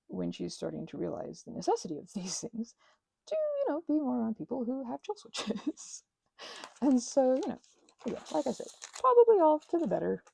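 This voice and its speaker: Casting: woman, mellow husky voice, very animated pitch, halting intimate crafting-vlog ramble, reflective and self-interrupting. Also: rough recording. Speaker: craft vlogger and ASMR creator. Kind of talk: rambling, intimate casual chat while crafting.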